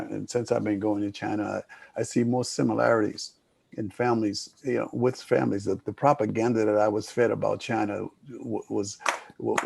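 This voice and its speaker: A man's voice: lowish voice, vocal fry, a little mellow, breathy edge. An older man moderating a panel discussion, talking in a rambling way with many restarts.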